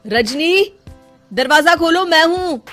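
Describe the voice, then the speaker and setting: A woman's voice: extremely high pitch, expressive, slow pace, fairly bright tone, faint music behind, pitched above the performer's own voice, full character voice. A woman voicing an earnest young husband in a cartoon story, pleading and questioning. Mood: confronting. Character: affectionate, puzzled young husband, earnest and insistent.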